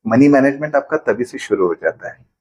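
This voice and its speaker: A man's voice: mid-range voice, expressive, a little fast, darkish tone. A man, a financial planner patiently explaining money matters with everyday examples in an interview.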